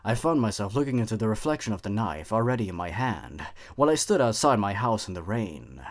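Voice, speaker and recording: A man reads horror fiction as a storyteller, with a voice on the low side. His pitch moves widely as he goes, giving the scripted narration a theatrical, suspenseful feel. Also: sing-song, fairly quick.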